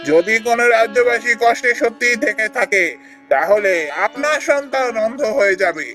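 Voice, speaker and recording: A man's voice: very high-pitched, normal speed, a bit bright. A man playing a righteous holy man in a cartoon fairy tale, solemn and admonishing. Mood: stern, accusing. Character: stern righteous holy man who curses tyrants. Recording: faint music behind the voice.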